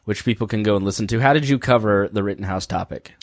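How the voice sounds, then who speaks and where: A man hosting a live-stream podcast, hoarse from laryngitis, rambling casually with plenty of fillers. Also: fairly low pitch, fast talker, dry recording, clean studio sound. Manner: rambling, jokey, many fillers. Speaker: podcast host.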